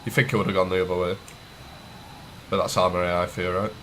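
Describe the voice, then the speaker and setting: A man's voice: sits low, level tone, fast, a bit bright, slight background noise. A man with a cold, sniffling, casually talking through a game tutorial as he clicks and types. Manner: thinks aloud while clicking and typing, loose and chatty. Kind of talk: casual screen-recorded tutorial.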